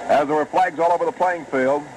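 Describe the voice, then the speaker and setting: A man calling a football game play by play on live radio, brisk and rising with the action, on a noisy old broadcast recording. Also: on the high side, a little mellow. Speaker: play-by-play announcer.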